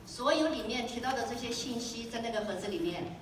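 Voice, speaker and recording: A woman interprets on stage, quick and filler-laden as she restates each point. Her voice sits a little high. She is taped from far back in an echoey convention hall with steady background noise. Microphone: handheld mic, recorded from far in the audience.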